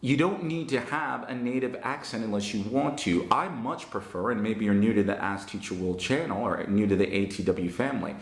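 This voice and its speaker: A man with a Northern New Jersey accent, giving an online English lesson in a slow, emphatic and encouraging way. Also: on the low side, reverberant. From United States. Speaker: English teacher.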